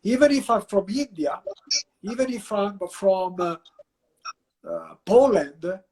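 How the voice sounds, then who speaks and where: Italian-accented professor, lecturing discursively. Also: male voice, high-pitched, lively intonation, takes it slowly, darkish tone, a little hiss or hum, low-quality recording. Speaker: university professor, guest. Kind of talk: guest answering in an interview.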